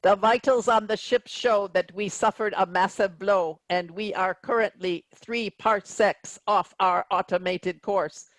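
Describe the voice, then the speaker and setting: An actor playing a stern, sardonic autopilot computer captain, speaking in a measured, formal deadpan in a sci-fi comedy reading. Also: mid-range voice, no room sound, natural voice, poor audio.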